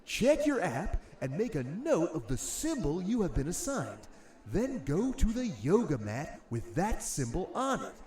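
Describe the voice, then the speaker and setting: An actor playing a peppy event organizer announcing instructions in an echoey room, in a comedy audio drama. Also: male voice, sits high, wildly swooping pitch, measured pace, a little mellow, full character voice.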